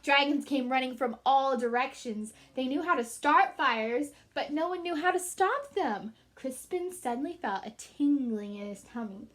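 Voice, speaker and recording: A woman storyteller with a high, bright voice. She addresses young listeners in an animated, emphatic read-aloud style with chatty asides. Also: normal speed, few pauses. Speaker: storyteller reading to children.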